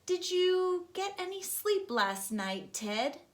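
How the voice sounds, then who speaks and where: A woman voicing a big, friendly male dog in a children's storybook, in a bright sing-song delivery. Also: very high voice, very animated, slow pace, voice pushed up, heavily acted.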